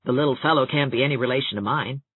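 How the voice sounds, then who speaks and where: A woman's voice: very deep voice, rapid-fire, husky, no room sound, phone-quality sound. A woman voicing a cheerful, teasing, self-mocking young man in a storybook reading. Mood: wry, chatty.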